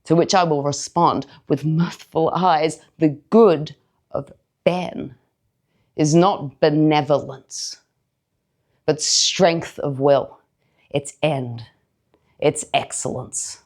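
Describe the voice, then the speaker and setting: A woman playing a brooding, strong-willed young male villain in a deliberate, declamatory verse monologue on stage. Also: sits low, fairly even intonation, a little mellow. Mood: anguished, defiant. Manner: declamatory, deliberate, weighty pauses. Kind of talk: theatrical Shakespearean verse monologue.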